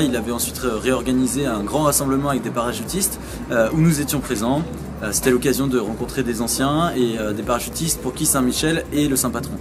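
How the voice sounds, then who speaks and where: A young man, spokesman of an activist group from western France, speaking haltingly in an interview with constant fillers. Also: mid-range voice. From Vendée, France.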